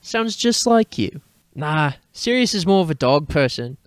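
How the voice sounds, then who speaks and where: A man voicing teasing, lively characters in a dramatic story reading. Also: on the high side, measured pace, no echo. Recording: background music under a dry voice.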